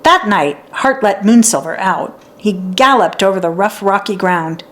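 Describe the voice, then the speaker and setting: A woman narrating a children's story in an expressive read-aloud style for young listeners. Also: medium pitch, a little slow. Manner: acts out dialogue and sounds out letters.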